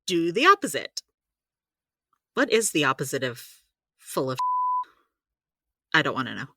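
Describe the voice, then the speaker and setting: Audio drama healer: practical caring mentor, very animated delivery, dry close sound, rambling explanations. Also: female voice, low voice, moderate pace, husky, dry recording, exaggerated voice. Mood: instructive. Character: supernatural healer woman, practical, chatty, gently caring mentor.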